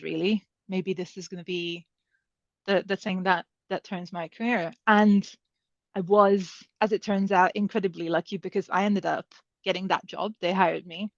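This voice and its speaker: A woman's voice: medium pitch, no echo. A woman shares her career story at an advice evening, talking loosely with plenty of ums and restarts. The sound is dull and a bit lo-fi, close and dry with no room around her. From Serbia. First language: Hungarian. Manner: conversational, many fillers and restarts. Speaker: panel speaker.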